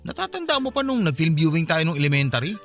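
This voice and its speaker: Radio drama role: playful Filipino boy next door, higher-pitched and quick, casual teasing. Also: wildly swooping pitch, fairly bright tone, full character voice. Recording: music bed behind the voice.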